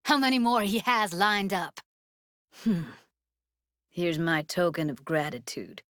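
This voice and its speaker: A woman's voice: fairly low pitch, lively intonation, unhurried, fairly bright tone, dry recording, studio quality. A woman voicing a young, streetwise techie in a video game, blunt and guarded with tenderness underneath, speaking in casual, clipped slang. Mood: frustrated, urgent. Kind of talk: naturalistic video game voice acting.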